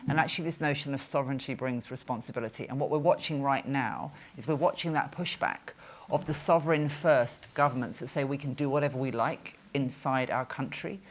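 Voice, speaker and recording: A woman moderating a panel discussion, brisk and engaged as she invites views from the room. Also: a bass voice.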